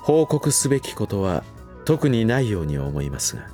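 A loyal manservant, speaks in a lowish voice with strongly varied intonation. He is formal and coldly courteous, distrust edging his politeness, in a voice drama over faint music. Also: big pitch swings, moderate pace, dark tone. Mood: curt, guarded. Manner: formal, clipped servant politeness with barbed edge. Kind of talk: Japanese voice drama acting.

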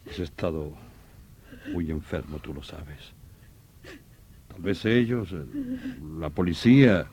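A man's voice: low voice, animated, takes it slowly, a little mellow, exaggerated voice. A man playing a sober, disbelieving friend in a radio drama, speaking in a grave, hesitant, placating way. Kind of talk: melodramatic radio drama acting.

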